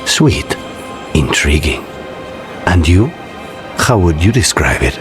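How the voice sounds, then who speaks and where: A man's voice: very deep voice, sing-song, takes it slowly, music in the background, exaggerated voice. A man voicing a brooding, courteous assassin with a dry, guarded manner in a video game cutscene. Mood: soft, reflective.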